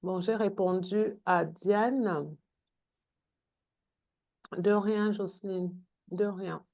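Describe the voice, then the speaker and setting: A woman's voice: medium pitch, fairly even intonation, very slow, muffled recording. A woman leading an online product training, talking with many fillers and restarts. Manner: hesitant, many fillers and repeated words.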